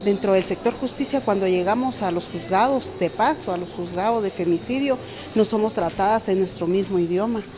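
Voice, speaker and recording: A woman's voice: medium pitch, fairly quick, a crowd in the background. A woman from Guatemala whose first language is Uspanteko, speaking earnestly and restarting phrases.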